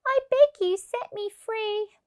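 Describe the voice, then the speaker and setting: Lady doing a sad gentle mermaid, high and slow, storybook style. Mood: pleading. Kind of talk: children's picture book character voice.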